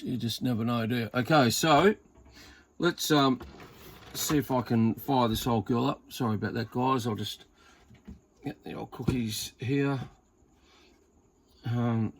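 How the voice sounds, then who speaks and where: Gravelly Australian man, casual and rambling. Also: mid-range voice. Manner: rambling, informal, thinking aloud with mild swearing. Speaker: hobbyist radio reviewer. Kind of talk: casual unboxing and test commentary. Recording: noticeable background noise.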